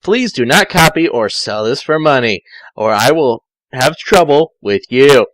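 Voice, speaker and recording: A man's voice: mid-range voice, animated, a little slow, a bit bright, no echo, rough recording. A man reading a story aloud informally, theatrical and often stumbling or correcting himself. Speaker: amateur fan fiction reader.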